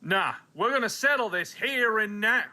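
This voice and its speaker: A man's voice: high-pitched, lively intonation, normal speed, sharp tone, full character voice. A man playing a menacing, possessive rancher in a western radio drama, belligerent and hot-tempered. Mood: hostile.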